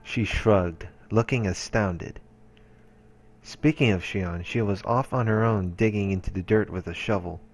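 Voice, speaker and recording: Casting: male let's-play narrator, low register, measured reading of story prose, soft music underneath. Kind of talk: reading visual novel narration aloud. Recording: voice-over with music behind. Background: game music.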